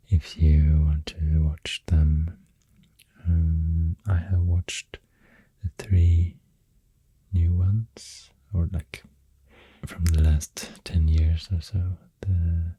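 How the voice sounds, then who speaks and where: Very soft, breathy Swedish-accented ASMR whisperer. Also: sits low, dry recording. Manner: hushed, unhurried, hesitant pauses. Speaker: ASMR video host. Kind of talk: soft-spoken ASMR, rambling. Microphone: close microphone.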